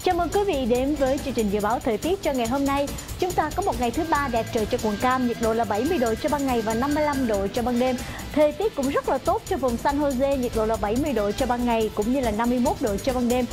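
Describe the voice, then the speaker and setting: A woman's voice: high voice, fast talker. A middle-aged woman presenting a weather forecast in a polite, semi-scripted way in a TV studio. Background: background music.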